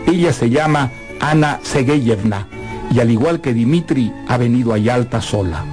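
A man presenting a literary radio drama with formal, measured scripted commentary. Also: mid-range voice, darkish tone. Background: music.

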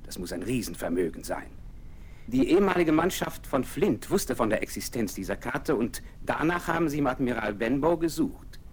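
A lively, commanding nobleman. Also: medium pitch, normal speed, husky. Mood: eager. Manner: commanding, enthusiastic planner. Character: eager, self-assured nobleman, middle-aged man.

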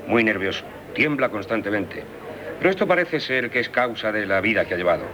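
An actor playing a composed, authoritative lawyer in a 1970s radio drama, measured and formal. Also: male voice, lowish voice, expressive, sharp tone. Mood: matter-of-fact. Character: composed, authoritative male lawyer, calming and matter-of-fact. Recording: 1978 radio recording, faint noise.

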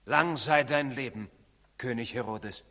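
Solemn wise stargazer, formal, courteous, forceful clear-cut tone, vintage radio drama, long hall reverb. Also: male voice, mid-range voice, measured pace. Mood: deferential. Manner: formal, declamatory explaining. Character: learned, solemn court-visiting astrologer, courteous and assured. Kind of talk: 1960s German radio play acting.

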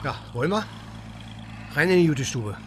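An actor playing a chatty, cynical East German army helicopter pilot in a radio drama, speaking in short, breezy phrases. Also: male voice, on the high side, animated, normal speed, heavy background noise, close to an everyday voice. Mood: brisk, casual.

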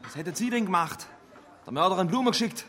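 An actor playing a bitter, evasive crime suspect in a radio drama. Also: male voice, high-pitched, expressive, fairly quick, pushed and bright. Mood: bitter, accusing.

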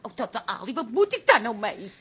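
An actress playing a lively, frank café woman and frustrated wife in a radio comedy, chatty and expressive. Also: a little high, moderate pace, slightly rough, full character voice. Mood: playful complaining. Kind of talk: naturalistic radio comedy acting.

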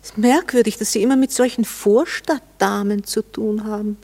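An actress playing a proper married lady in a German radio play, guarded, arch and coolly mocking, quick to reprove. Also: medium pitch, fairly even intonation, darkish tone, close to an everyday voice. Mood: sarcastic, jealous.

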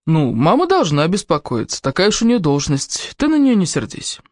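A woman voicing a kind, fatherly male teacher in an audiobook, restrained and gently teasing. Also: lowish voice, wildly swooping pitch, normal speed, dry recording, clean studio sound. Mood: encouraging. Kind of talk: audiobook character dialogue.

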